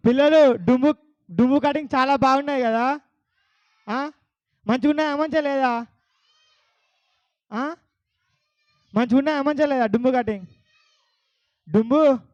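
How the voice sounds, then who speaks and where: A man's voice: very high-pitched, measured pace, long pauses, a little mellow, no echo, muffled recording. A man with a Telangana Telugu accent hosting a puppet show, coaxing a child audience with questions. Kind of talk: lively talk to a child audience.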